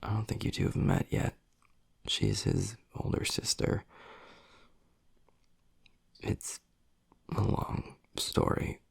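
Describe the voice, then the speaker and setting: A man playing a gruff young werewolf pack alpha and protective, secretly tender boyfriend, murmuring bluntly in a husky voice in an intimate audio roleplay. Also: level tone, slow pace, long pauses, dry recording, exaggerated voice. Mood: dry, matter-of-fact. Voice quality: husky, gravelly, breathy low voice.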